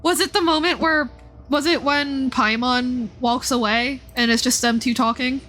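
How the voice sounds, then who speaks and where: A woman gives casual live commentary while playing a game. Her voice is high and bright, and she reacts expressively with frequent exclamations and casual swearing. Soft game music plays behind her. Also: steady tone, measured pace.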